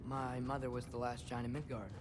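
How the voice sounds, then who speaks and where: A man's voice: mid-range voice, completely flat delivery, a little fast, very noisy, close to an everyday voice. An actor playing an earnest, idealistic teenage boy in a video game, speaking persuasively. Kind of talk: dramatic video game acting.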